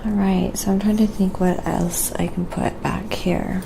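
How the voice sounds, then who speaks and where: A soft-spoken woman chatting quietly and casually while painting on an ASMR live stream, often murmuring to herself. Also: medium pitch, fairly even intonation, a little slow, vocal fry, noisy background.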